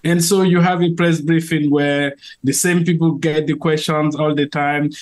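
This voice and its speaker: Cameroonian man, slightly high voice. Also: flat delivery, moderate pace. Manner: earnest, with frequent fillers and restarts. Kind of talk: interview answers.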